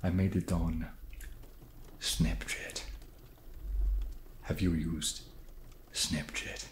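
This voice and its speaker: A young man playing an eager vampire housemate with a put-on foreign vampire accent, soft-spoken, halting and deadpan in a comic ASMR roleplay. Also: low-pitched, roomy sound, a little hiss or hum. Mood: awkwardly welcoming. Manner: halting, deadpan, awkwardly friendly. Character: awkward, eager male vampire housemate desperate to seem trendy.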